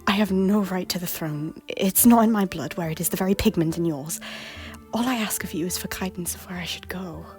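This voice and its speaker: A woman playing a breathy widowed queen. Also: fairly low pitch, a little fast, darkish tone, faint music behind, lightly acted. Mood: humble. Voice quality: breathy, often whispering. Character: widowed former queen, desperate and pleading, adult woman. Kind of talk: fan audio drama acting.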